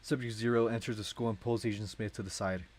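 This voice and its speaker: A man's voice: lowish voice, fairly even intonation, fast talker, darkish tone, a little hiss or hum. A young adult man narrating a script in an informal, amused way, often interrupting himself. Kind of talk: casual script read-along.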